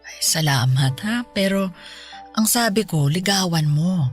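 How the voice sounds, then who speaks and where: A woman playing an affectionate, ailing elderly mother in a radio drama, speaking in a colloquial, motherly, gently nagging way. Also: on the low side, lively intonation, a little slow, soft background music. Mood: fond, teasing. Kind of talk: Tagalog radio drama acting.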